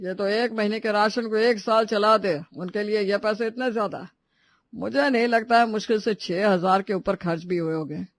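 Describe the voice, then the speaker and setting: Man acting a skeptical high-voiced elderly father-in-law. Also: steady tone, moderate pace, no echo, studio quality.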